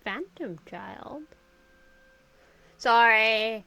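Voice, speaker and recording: Slow-talking chatty woman streamer. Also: a little high, lively intonation, gravelly, sharp tone, dry recording, poor audio. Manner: chatty and giggly, with restarts. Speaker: game streamer. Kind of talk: casual live-stream commentary. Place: home streaming setup. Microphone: desk mic on boom arm.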